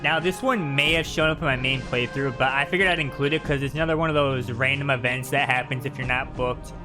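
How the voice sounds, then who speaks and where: A man's voice: mid-range voice, fast talker, over music. A man doing casual live commentary on video game footage, talking in an informal way.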